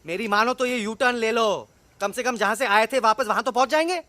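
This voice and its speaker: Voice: mid-range voice, a little fast, bright, forceful tone, dry recording, natural voice. An actor voicing a haughty, sceptical animal traveller in a cartoon film, sarcastic and grudging. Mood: mocking.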